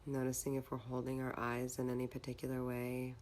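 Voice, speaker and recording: A woman leading a guided meditation in a calm, measured, instructional manner. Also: a bass voice, slight background noise.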